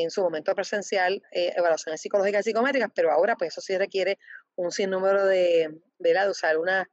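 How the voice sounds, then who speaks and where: A woman representing a foundation, answering interview questions with many pauses, fillers and restarts. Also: medium pitch, dry recording. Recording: dull lo-fi dry sound.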